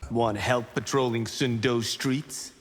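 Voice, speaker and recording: A man's voice: medium pitch, fairly even intonation, takes it slowly, slightly rough. An actor playing a confident, touchy young male fighter in a video game, speaking boastfully and directly. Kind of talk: video game character dialogue. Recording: long hall reverb, faint noise.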